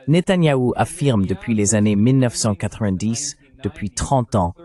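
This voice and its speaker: A man's voice: on the low side, darkish tone. A man voicing a French translation of a political analyst's explanatory interview commentary.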